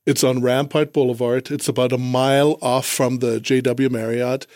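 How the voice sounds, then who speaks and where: A man's voice: mid-range voice, flat delivery, moderate pace, darkish tone, dry recording. German guy being interviewed, business guest, chatting casually, mid voice, dry room.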